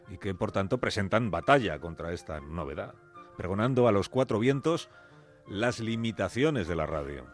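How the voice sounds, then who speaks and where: A man narrates a dramatic radio story in a low voice with a Castilian Spanish accent. His delivery is scripted and deliberate, building suspense phrase by phrase. Faint music plays behind him.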